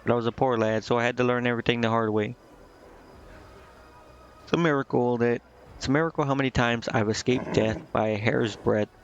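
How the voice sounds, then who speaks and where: A man reads out a brash, shrewd tiefling shopkeeper from a fantasy game, one-eyed and worldly. The voice has a gravelly fry at a middling male pitch, delivered plainly with occasional stumbles. It sounds a little dull and lo-fi. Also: fairly even intonation, fairly quick, long pauses, close to an everyday voice. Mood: matter-of-fact, reminiscing. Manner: plain reading of scripted dialogue with occasional stumbles.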